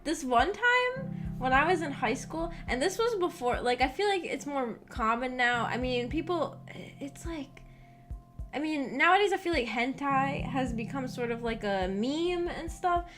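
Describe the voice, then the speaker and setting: A woman's voice: sits high, unhurried. A young adult woman chatting casually as a livestream host, telling rambling anecdotes in a giggly, filler-heavy way. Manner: giggly, animated storytelling with frequent "like". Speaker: streamer hosting a visual novel book club. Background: background music.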